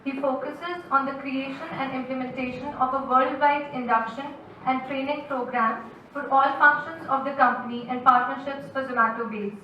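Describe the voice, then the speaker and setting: A woman's voice: high-pitched, fairly even intonation, normal speed, muffled recording, slight background noise. A woman hosting a university event, formally reading a prepared introduction of a guest. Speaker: event host. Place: university lecture hall. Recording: echoey hall from far off.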